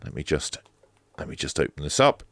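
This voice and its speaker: A man's voice: a bass voice, very animated, brisk, no echo. A man giving a casual, unscripted unboxing review, explaining things as he goes in a hesitant way. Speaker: radio gadget reviewer.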